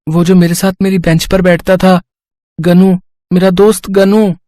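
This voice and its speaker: A man's voice: high-pitched, little pitch movement, unhurried, mellow, dry recording, studio quality. A man voicing a schoolboy who has lost his mother, speaking in a heartfelt, emotional way in a storybook tale. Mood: emotional calling. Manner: brash, then heartfelt. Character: schoolboy Vivek, troubled then earnest.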